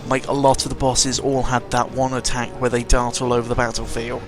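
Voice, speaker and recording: A man's voice: mid-range voice, flat delivery, fairly quick. Rambling British man with game music behind. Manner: rambling, sarcastic, mildly sweary. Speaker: video game commentator. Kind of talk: casual unscripted rambling commentary. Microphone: close microphone. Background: video game music.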